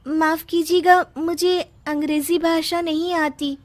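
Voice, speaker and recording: A woman voicing a meek, gentle young daughter-in-law in a cartoon story, timid and respectful. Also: extremely high pitch, little pitch movement, a little slow, pitched above the performer's own voice. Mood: humble.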